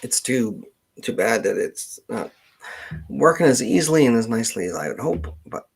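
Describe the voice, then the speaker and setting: A man hosting an unscripted livestream Q&A, speaking haltingly as he thinks aloud. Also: mid-range voice, sing-song, creaky, slightly rough. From Canada. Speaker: genealogist hosting a live Q&A.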